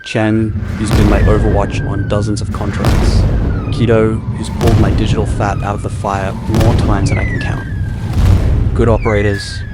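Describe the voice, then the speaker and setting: A man's voice: fairly low pitch, huge pitch swings, moderate pace, very noisy. A man reading a dramatized first-person audiobook in a gritty, grim, intense storytelling manner. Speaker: audiobook narrator. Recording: loud music behind the voice.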